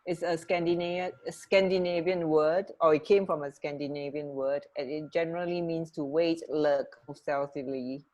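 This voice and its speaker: A woman co-hosting a radio show in casual, halting, giggly banter. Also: low voice, steady tone, a little mellow, lo-fi sound. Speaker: radio co-host.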